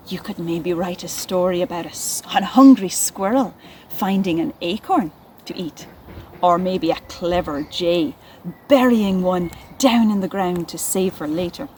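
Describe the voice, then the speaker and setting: Female children's presenter and poetry reader, lively intonation, unhurried delivery, faint ambient noise. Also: medium pitch. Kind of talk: expressive educational narration and poetry reading for children.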